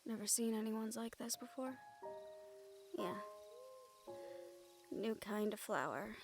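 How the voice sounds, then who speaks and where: Woman playing a soft affectionate fantasy fighter girl, kinda high voice, playful but grieving, audio rp with music behind. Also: slow pace. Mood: grieving, tender. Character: affectionate young woman, supernatural fighter, grieving yet teasing. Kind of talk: intimate audio roleplay acting.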